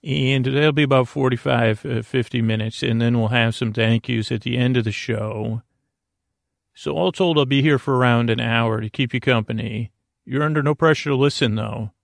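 Creaky-voiced man rambling softly at bedtime. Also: mid-range voice, no room sound, clean studio sound. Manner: lulling, meandering, halting with tangents and self-interruptions. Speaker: podcast host.

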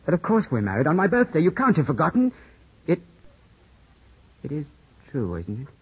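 A man's voice: fairly high pitch, wildly swooping pitch, moderate pace, husky, full character voice. An actor playing an anguished, suspicious young Englishman in love, intense and brooding, in 1950s radio drama style. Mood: pleading, wounded. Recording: dull 1950s radio broadcast, music behind.

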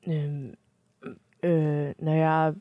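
A woman playing a naive, timid post office customer who speaks awkwardly and haltingly in an amateur audio drama. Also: deep voice, little pitch movement, dry recording, dull audio.

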